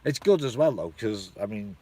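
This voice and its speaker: Sweary north welsh bloke chatting live. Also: male voice, on the low side, lively intonation, moderate pace, dark tone. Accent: North Welsh with a hint of Shropshire. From North Wales. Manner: rambling, sweary, chuckling often. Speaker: live-stream host. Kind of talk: casual live-stream chat with viewers.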